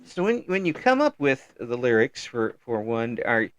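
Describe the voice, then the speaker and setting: A chatty middle-aged man hosting an interview, voice at an ordinary pitch. He restarts his sentences and keeps tossing in agreeable little yeses. The sound is dry and a bit dull. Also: big pitch swings, normal speed, fairly bright tone, no room sound. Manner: chatty, frequent restarts and affirming "yeah"s. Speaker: interview host. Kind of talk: casual interview.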